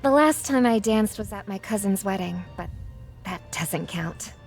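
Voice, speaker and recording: A woman's voice: medium pitch, lively intonation, unhurried, vocal fry, soft background music. A woman voicing an eager, shy, sheltered teenage girl in a video game. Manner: earnest, youthful. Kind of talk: video game character acting.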